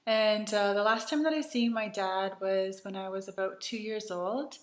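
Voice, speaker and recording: A woman's voice: mid-range voice, fairly even intonation, normal speed, roomy sound. Chatty woman telling her story. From Canada. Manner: chatty, many "um"s and "so"s, earnest. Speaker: retreat host. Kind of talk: personal storytelling to camera.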